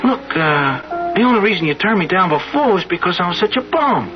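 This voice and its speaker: A muffled old radio drama with music behind. an eager working-class man in love, with a higher-than-usual voice that swings around as he pleads in halting, rough-grammared street talk. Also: very animated. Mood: insistent, pleading. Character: eager lovestruck working-class man, newly rich, insistent. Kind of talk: 1970s radio drama acting.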